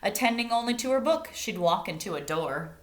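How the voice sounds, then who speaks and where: A woman reading a picture book aloud like a teacher, expressively, pausing to explain things and put questions to young listeners. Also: mid-range voice, lively intonation. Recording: roomy, echoing indoor sound.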